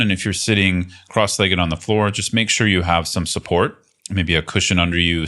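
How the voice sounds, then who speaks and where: Very deep-voiced man guiding meditation. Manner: gentle, unhurried guiding with long pauses. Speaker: meditation teacher. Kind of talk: guided meditation.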